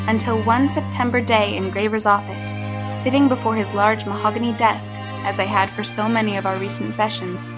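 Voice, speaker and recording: A woman plays a determined young woman in an audio drama, narrating her own captivity in a measured, introspective voice. Her pitch sits a little high, and a clear music bed runs beneath her. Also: lightly acted, poor audio. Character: young woman trapped in a man's body, determined. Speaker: narrator and lead character.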